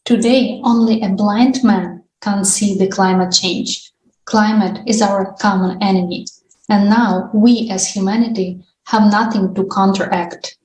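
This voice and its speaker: A woman's voice: medium pitch, steady tone, unhurried, darkish tone. A woman co-hosting an international discussion, reading scripted announcements. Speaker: co-host of an international online discussion. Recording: echoey room with background noise.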